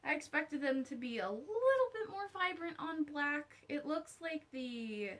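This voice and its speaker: A woman's voice: high voice, expressive, unhurried, a bit bright. A woman vlogger giving a casual, unscripted review to camera, candid and wry, with conversational asides.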